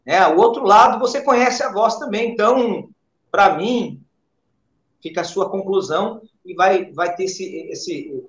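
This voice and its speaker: A man with a Brazilian accent, a pastor answering interview questions in a hesitant, halting way. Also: sits high, a bit bright. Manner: hesitant, halting, with repeated verbal tags. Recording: echoey, dull lo-fi interview audio.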